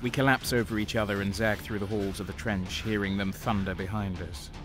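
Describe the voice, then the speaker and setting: A man's voice: on the low side, steady tone, fairly quick, natural voice. A man playing a renegade guardsman who narrates his own battles in a first-person audio drama. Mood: grim, tense. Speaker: narrator and main character. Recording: music behind the voice. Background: dramatic music.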